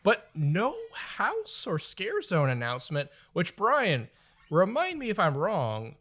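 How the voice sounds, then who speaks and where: A man's voice: high-pitched, huge pitch swings, takes it slowly, fairly bright tone. A man co-hosting a podcast in casual chat, rambling through his theories. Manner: rambling, speculative, laid-back. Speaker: podcast co-host.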